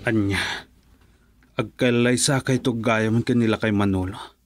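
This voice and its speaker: An actor playing an earnest, heartbroken poor young farmer in an Ilocano Filipino radio drama. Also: male voice, fairly low pitch, fairly even intonation, unhurried, loud music behind the voice, lightly acted. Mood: hurt, pleading.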